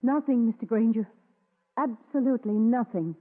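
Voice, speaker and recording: A woman's voice: on the high side, normal speed, loud music behind the voice, close to an everyday voice. Figure: woman voicing an anxious, stammering widow. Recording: phone-thin old broadcast. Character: frightened nervous widow. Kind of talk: 1940s radio acting. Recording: tinny old radio broadcast.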